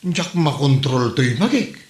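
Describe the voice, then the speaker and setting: A man's voice: mid-range voice, big pitch swings, a little slow. A man with an Ilocano accent playing a hapless, greedy, defensive grown son in a comedy radio drama. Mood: sheepish. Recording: roomy echo, faint music.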